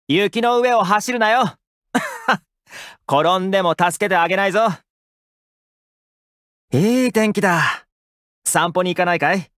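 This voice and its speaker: Casting: young male game housekeeper, caring and upbeat, fairly high-pitched, lively intonation, dry studio sound. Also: dry recording. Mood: cheerful, caring. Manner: casual, gently attentive, expressive. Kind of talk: Japanese anime game character voice lines.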